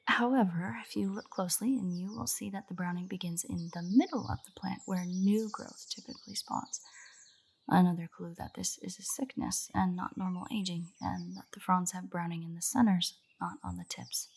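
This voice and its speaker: A woman's voice: on the low side, normal speed, creaky, a little mellow, slight background noise. A woman playing an earnest, nerdy royal botanist in a fantasy audio roleplay, speaking in a precise, formal, lecturing way directly to the listener. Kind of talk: roleplay audio drama, scripted monologue to the listener. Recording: birdsong behind the voice. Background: birdsong, garden ambience.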